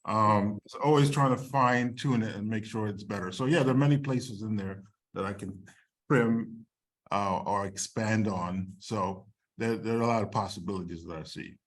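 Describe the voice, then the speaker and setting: A man with a fairly low voice talks as a screenwriter and filmmaker. He gives informal, conversational answers in an online question-and-answer session. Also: normal speed. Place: online video call.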